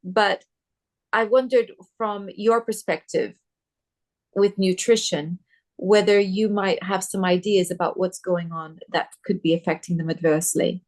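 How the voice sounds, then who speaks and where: A woman hosts an interview and puts her questions at an unhurried pace. She speaks politely and in a measured way. Small hesitant fillers break up her phrasing. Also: medium pitch, fairly even intonation. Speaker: interview host. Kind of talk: interview hosting.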